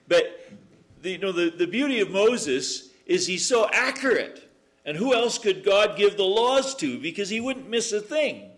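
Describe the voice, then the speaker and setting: A man preaching a sermon to a congregation, reading scripture, praying, and joking in asides with a loud voice. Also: sits high, big pitch swings, measured pace, long pauses. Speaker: guest preacher. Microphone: microphone through speakers. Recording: echoey room.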